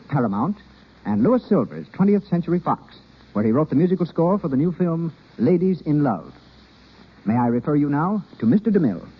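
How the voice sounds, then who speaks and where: A man's voice: a little high, breathy edge. A man making formal scripted announcements in 1930s radio style. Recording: dull vintage radio recording.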